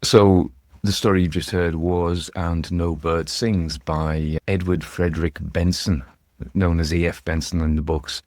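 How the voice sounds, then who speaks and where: A man's voice: sits low, little pitch movement, measured pace, a little mellow, dry recording, studio quality. A man hosting a story show, talking casually about literature with rambling, chatty asides. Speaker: host and narrator of a ghost story show.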